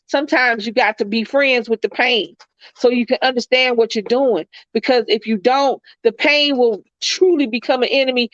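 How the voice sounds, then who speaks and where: A congested woman talks earnestly in a casual chat, circling back over her own phrases as she reflects. Her voice sits high. The sound is dry and a little dull, with a lo-fi edge. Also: fairly bright tone, no echo. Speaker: co-host.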